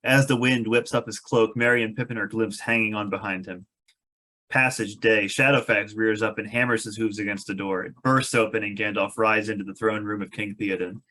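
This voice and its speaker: A man's voice: fairly low pitch, a bit bright. A man reading stage directions as the narrator of a scripted table read.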